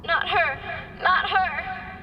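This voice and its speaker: A woman's voice: extremely high pitch, extremely slow, lo-fi sound, full character voice. An actress playing a frightened young girl's inner voice, pleading and anguished, in a radio drama. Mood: panicked. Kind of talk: vintage-style radio drama acting. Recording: distant, heavily reverberant, music behind.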